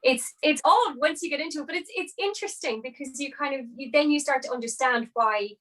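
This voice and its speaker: A woman's voice: sits high, fairly quick, fairly bright tone, low-quality recording. A young woman, an opera singer, chatting as a podcast guest and explaining things with lots of hedging fillers. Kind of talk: casual interview chat.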